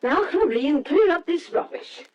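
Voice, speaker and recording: An actress playing a domineering, scolding woman in an old-time radio drama, barking orders in a sharp, commanding way. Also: very high voice, lively intonation, moderate pace. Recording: dull, noisy old radio recording.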